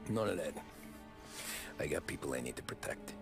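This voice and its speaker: A weary American mobster turned informant with a low voice. He speaks in short, guarded, subdued phrases, as in a dramatic crime video game. Music is clearly audible behind him. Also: animated, moderate pace, heavily acted. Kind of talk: cinematic video game acting.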